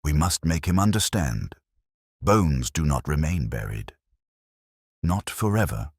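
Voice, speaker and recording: Male narrator as grave Victorian detective, extremely low voice, precise and formal, animated delivery, storybook dialogue. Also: a little slow, breathy edge, no room sound, clean studio sound, full character voice. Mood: grave, resolute. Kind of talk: audiobook character dialogue.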